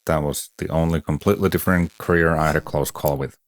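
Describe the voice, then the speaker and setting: A middle-aged Finnish man with an extremely low voice, answering a podcast interviewer. He sounds dry and matter-of-fact, with a self-deprecating edge. The sound is close and dead, with no room around it. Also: steady tone, fast talker, dry recording. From Finland. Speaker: guest.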